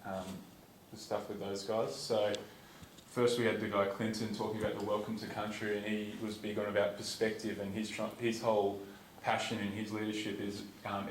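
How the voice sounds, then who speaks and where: A man's voice: fairly low pitch, monotone, fast. Young Australian man talking casually in an echoey hall. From Perth, Western Australia. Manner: casual, rambling, many ums and restarts. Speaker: student speaker at a conference. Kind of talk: informal talk to a lecture audience. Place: lecture theatre. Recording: echoey hall, distant, noisy.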